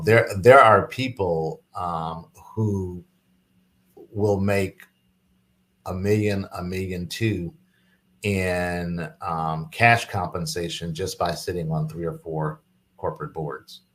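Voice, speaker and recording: A man speaking as an interview guest, explaining business matters in a conversational pitch. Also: fairly even intonation, slow, a bit bright.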